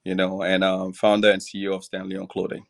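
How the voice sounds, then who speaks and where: A man in his forties from Cameroon with a Cameroonian accent, chatting as a podcast guest, relaxed and laughing easily between filler pauses. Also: low-pitched, creaky. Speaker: podcast guest, clothing brand founder. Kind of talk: casual interview chat.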